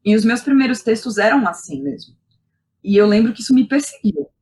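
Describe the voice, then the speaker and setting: A woman's voice: on the high side, big pitch swings, fairly quick, a little mellow. Young Brazilian woman telling personal stories, colloquial. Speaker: guest, playwright and theatre director. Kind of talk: casual personal storytelling in a podcast interview.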